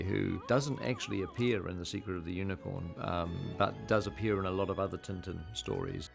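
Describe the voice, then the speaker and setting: A filmmaker speaks thoughtfully in a documentary interview, restarting his phrases as he goes. His voice is low and gravelly, with soft music underneath.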